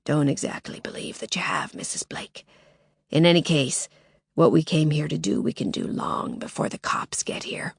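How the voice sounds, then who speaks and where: A woman's voice: lively intonation, normal speed, vocal fry, breathy edge, no echo, studio quality, exaggerated voice. Woman doing a harsh-voiced masked male intruder. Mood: menacing. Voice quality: thick and harsh. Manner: low menacing whisper.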